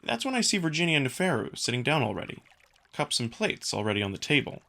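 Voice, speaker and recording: A man's voice: mid-range voice, sing-song, fast, creaky, sharp tone. A man reading scripted first-person narration for a visual novel as the narrator.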